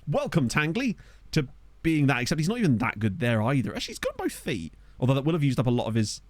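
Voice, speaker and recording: A man's voice: mid-range voice, wildly swooping pitch, fast, no echo. Chatty man streaming a game. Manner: chatty, rambling, laughs often, reacts aloud to players. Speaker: gaming streamer. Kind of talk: casual gameplay commentary. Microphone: desk microphone.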